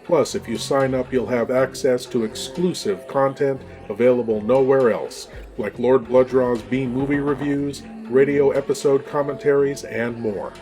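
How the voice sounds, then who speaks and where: A man's voice: medium pitch, steady tone, unhurried, few pauses, mellow, faint music behind. A man announcing a scripted podcast promo in the role of narrator.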